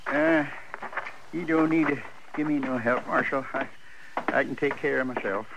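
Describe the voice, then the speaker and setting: An actor playing a cranky, boastful old Texan with an American Western drawl, blustering and stammering in a radio western. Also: male voice, medium pitch, fairly even intonation, normal speed, gravelly, highs cut off, natural voice. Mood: wounded pride. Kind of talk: 1950s radio western acting. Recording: noisy old radio recording with clicks.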